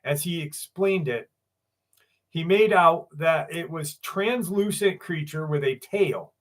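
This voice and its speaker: A man's voice: fairly high pitch, slow. A man hosting a live stream, telling stories in a loose, profane way and greeting viewers by name. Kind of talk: casual live stream chat and storytelling.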